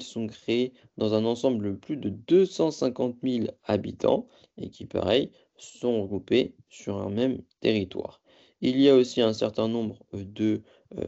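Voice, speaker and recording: Gravelly, mellow man giving a lecture. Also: medium pitch, dull audio. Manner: halting, self-correcting delivery. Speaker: presenter of a training course on local government. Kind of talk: explanatory slide lecture, semi-improvised.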